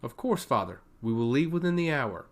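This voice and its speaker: Storybook prince, read by a man: bold, eager, earnest, with lively intonation. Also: mid-range voice, brisk, close to an everyday voice. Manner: earnest, questioning.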